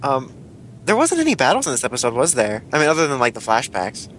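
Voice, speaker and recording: A man's voice: medium pitch, huge pitch swings, fast, a bit bright, a little hiss or hum. A man co-hosting a podcast, chatting casually about an episode, laughing often and mocking characters. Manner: laughing, mocking, lots of silly quoted voices. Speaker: podcast co-host. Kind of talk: casual chat reviewing an anime episode.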